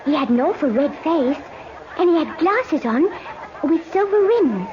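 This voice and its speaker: A woman's voice: sits high, very animated, measured pace, slight background noise. A woman playing a polite, shy little schoolgirl in an old radio drama, speaking hesitantly. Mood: shy, eager. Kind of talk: old time radio acting. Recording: muffled old radio recording.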